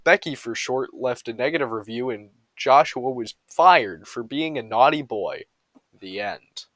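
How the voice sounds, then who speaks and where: A man's voice: medium pitch, a little slow, a bit bright, no room sound. A man reading humorous bedtime stories aloud with a mock-serious storytelling delivery.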